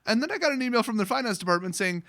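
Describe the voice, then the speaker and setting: A man's voice: extremely high pitch, animated, very fast, a bit bright, no room sound, studio quality. A man telling a casual, rambling story as a vlogger, sweary, sarcastic and blunt. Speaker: online vlogger telling a story, card seller.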